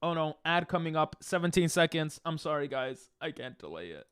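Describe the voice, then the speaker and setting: A man's voice: on the high side, very animated, fairly quick, a bit bright, clear, resonant tone, no room sound, studio quality. A man whose first language is Hebrew, chatting casually on a game stream, reading chat aloud and breaking into silly freestyle rhymes. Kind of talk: casual live-stream chat while gaming.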